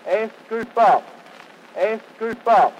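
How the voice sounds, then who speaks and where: A man leads a language lesson, working through drill after drill. His voice sits high, and his delivery is unhurried and evenly measured, each form set apart. It sounds like a recorded teaching tape. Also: muffled recording, noisy background. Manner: measured, repetitive drill recitation. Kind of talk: reciting pronunciation and verb drills.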